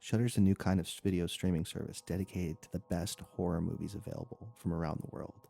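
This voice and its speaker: Deep, gravelly, husky male announcer. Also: fairly even intonation, fast, warm tone. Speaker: podcast announcer. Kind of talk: scripted sponsor read and credits.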